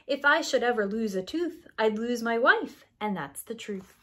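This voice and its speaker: A boastful circus trapeze man from a picture book, acted out by a woman. The voice is high and animated. He speaks emphatically and matter-of-factly. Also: moderate pace. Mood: emphatic.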